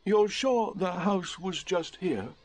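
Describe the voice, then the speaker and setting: A man voicing a friendly, simple bear with a gruff voice and an earnest manner, in a children's storybook. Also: high-pitched, lively intonation, slow, darkish tone, voice pushed up, full character voice, poor audio. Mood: hesitant, earnest.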